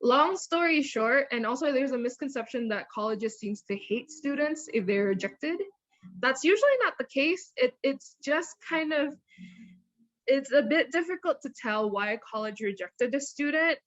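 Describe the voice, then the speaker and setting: A woman who is a university counselor, answering student interview questions in a chatty, lively way and often correcting herself. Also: high-pitched, normal speed, dry recording, muffled recording.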